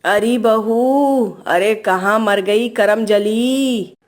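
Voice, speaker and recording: A woman's voice: mid-range voice, drawn-out words, voice pushed down. A woman playing a bossy, cantankerous older mother-in-law who scolds and grumbles in a family story. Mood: menacing, scolding.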